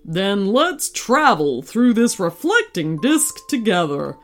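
A woman's voice: mid-range voice, sing-song, slow, soft background music. A woman voicing a thoughtful, friendly little male dog in a storybook, giving kind advice. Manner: warm, thoughtful, advising.

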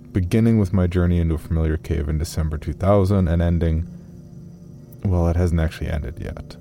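Deep-voiced, earnest amateur caver played by a man. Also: creaky, dark tone. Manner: measured, matter-of-fact. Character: earnest, guarded amateur caver recounting his journal. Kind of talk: first-person scripted horror narration.

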